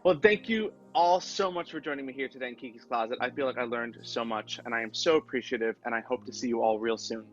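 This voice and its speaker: A man's voice: mid-range voice, fast talker, few pauses. A man hosting a talk show, addressing his guests in a conversational way. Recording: dull lo-fi sound, music behind.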